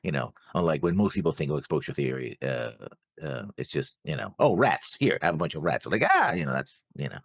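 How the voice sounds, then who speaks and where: A man's voice: extremely low pitch, big pitch swings, brisk, no room sound, clean studio sound. A man co-hosting a casual discussion show, rambling through his notes and acting out imagined remarks. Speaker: reaction show co-host.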